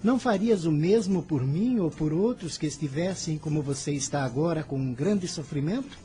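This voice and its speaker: A caring, earnest boy apostle in a Brazilian radio drama. The voice is soft-edged and lively in pitch, with solemn, protective warmth. Light music runs underneath. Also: normal speed.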